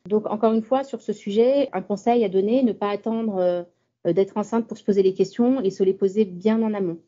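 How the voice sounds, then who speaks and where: A woman representative gives practical advice and pauses to hesitate now and then. Her voice is very dark and mellow. The sound is dull and lo-fi. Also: mid-range voice. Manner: advising, with hesitations. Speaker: insurance fund representative.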